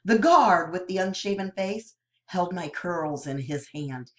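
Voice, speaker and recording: A woman narrating a novel aloud in the first person, with an expressive storytelling delivery. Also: on the low side, a little slow.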